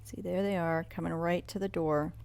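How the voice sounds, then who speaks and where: Woman reading a bedtime story aloud, lowish voice. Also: steady tone, moderate pace, gravelly, a little mellow. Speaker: storybook read-aloud reader. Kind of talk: bedtime story read-along narration with asides about pictures.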